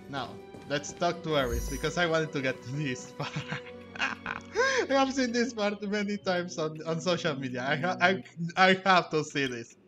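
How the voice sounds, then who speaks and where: A man hosting a gaming video, commenting casually and reading game text aloud a bit haltingly. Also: a little high. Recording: game music clearly behind the voice. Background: video game music.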